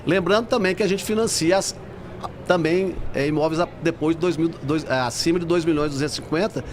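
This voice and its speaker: A man's voice: a little high, brisk. A man with a Brazilian accent explains things as a finance guest in an interview, often checking for agreement with short tag questions. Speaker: housing finance executive, guest. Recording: noisy venue with urban ambience. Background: clear ambient noise of a busy fair.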